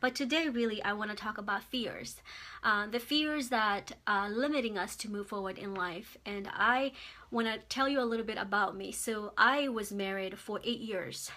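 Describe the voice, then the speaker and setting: A woman's voice: medium pitch, moderate pace, pushed and bright. A woman from Mozambique giving a heartfelt motivational talk as a guest speaker on a livestream, earnest and repeating phrases for emphasis. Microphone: phone or webcam livestream.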